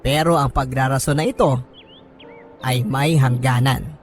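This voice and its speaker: A Filipino man reading a first-person story as a radio host, in a measured, sentimental dramatic narration with pauses. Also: mid-range voice, steady tone. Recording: music bed under the voice. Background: background music.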